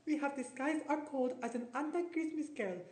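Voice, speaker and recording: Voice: high voice, brisk, a little mellow, echoey room, natural voice. A performer playing a fussy, loving, secretive Soviet mother in a comic radio play, very theatrically. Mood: hushed caution. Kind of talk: old-time radio comedy acting.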